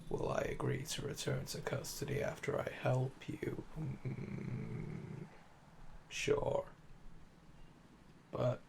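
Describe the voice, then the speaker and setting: Casting: male actor as smug yandere assassin for roleplay audio, heavy vocal fry, slow seductive drawl, noisy ambience behind. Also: medium pitch, heavily acted. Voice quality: gravelly, fry-laden, often dropping to breathy murmur. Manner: slow, teasing, seductive, drawling pet names. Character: calm, flirtatious yandere assassin in custody, smug and menacing. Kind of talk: roleplay audio acting, intimate ASMR.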